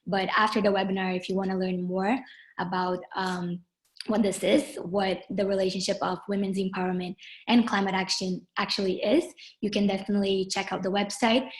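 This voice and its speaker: Young woman from Brazil, native Portuguese speaker, moderating a video webinar; middle pitch, friendly, filler-heavy delivery. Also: flat delivery, low-quality recording. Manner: friendly, many ums and uhs, slows on key phrases. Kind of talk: online webinar introduction. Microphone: video call.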